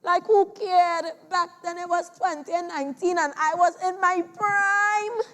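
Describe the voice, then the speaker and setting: A young boy with a Trinidadian accent playing a boastful, deceitful trickster spider, telling his tale theatrically on stage with drawn-out, exaggerated words. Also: very high voice, fairly even intonation, sharp tone, exaggerated voice. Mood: haughty. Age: child. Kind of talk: theatrical competition storytelling on stage.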